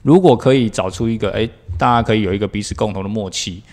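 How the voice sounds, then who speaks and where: A man's voice: on the low side, fast talker, a little mellow. A man with a Taiwanese Mandarin accent, chatting casually as a podcast co-host. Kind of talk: casual chat.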